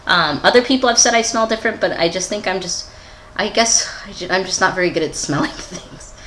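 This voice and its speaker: A man's voice: sits high, fairly quick, pushed and bright, a little hiss or hum. A young adult man giving a casual, candid personal video update in a rambling, giggly, self-deprecating way. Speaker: vlogger.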